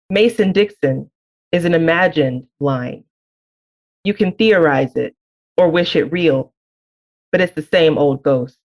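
Woman, poet, no Southern accent, deliberate reading, reflective conversation, lightly muffled lo-fi sound. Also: low voice. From Birmingham, Alabama. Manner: measured, deliberate reading with slow emphatic phrasing. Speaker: poet and guest. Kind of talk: poetry reading and interview answers.

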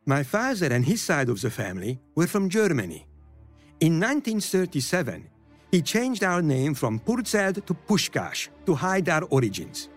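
A man's voice: on the high side, very animated, moderate pace, no echo, soft background music. An actor playing a grown football star who fondly and wryly tells of his boyhood. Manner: fond, wry first-person storytelling.

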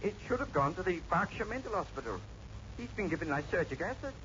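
An actor playing a flustered, apologetic chemist, anxious and stammering, in a 1960s radio drama. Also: male voice, sits high, very animated, fast, a bit bright, slight background noise. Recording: muffled vintage radio recording.

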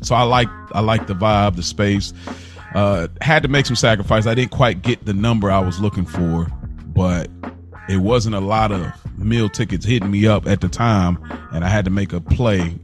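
A man's voice: on the low side, normal speed, soft background music. A young adult man co-hosting a casual podcast, talking in an easygoing, rambling way. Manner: easygoing, rambling, restarts sentences. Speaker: podcast co-host.

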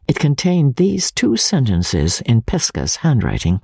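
A man narrates classic fiction in a measured, dramatic style. His medium-pitched voice has a rough, breathy grain and moves expressively up and down. The sound is close and dry, suited to a solemn literary reading. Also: huge pitch swings, mellow, no room sound. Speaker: audiobook narrator.

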